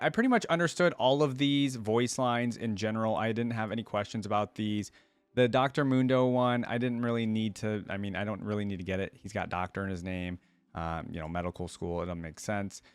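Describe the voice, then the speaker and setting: A man's voice: lowish voice, fairly even intonation, a little fast, clean tone, no echo. A man hosting a reaction video, talking casually and rambling informally as he muses, close to the microphone.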